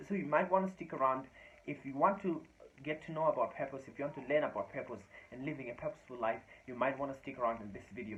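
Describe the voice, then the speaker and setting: A man in his twenties whose first language is Shona, giving an earnest motivational talk to his channel's viewers and repeating phrases for emphasis. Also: mid-range voice. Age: 20s. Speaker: YouTube channel host. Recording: dull lo-fi sound with faint noise.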